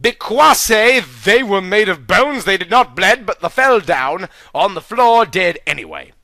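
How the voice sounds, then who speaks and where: A man's voice: sits high, expressive, unhurried, gravelly, bright, forceful tone, no echo, studio quality. A man narrating a childish adventure story with earnest, mock-dramatic delivery. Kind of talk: comic reading of a childish story.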